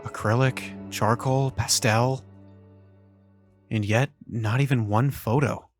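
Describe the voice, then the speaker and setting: A man's voice: medium pitch, steady tone, takes it slowly, natural voice. Man as an uneasy teenage boy narrating over music. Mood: awed, self-doubting. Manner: introspective, wry, uneasy. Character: self-conscious teenage human boy, high school senior, narrating. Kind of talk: first-person visual novel narration, fan dub.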